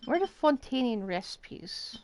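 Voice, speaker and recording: A woman's voice: a little high, very animated, a little slow, slight background noise. A woman game streamer giving casual live commentary, thinking aloud as she plays.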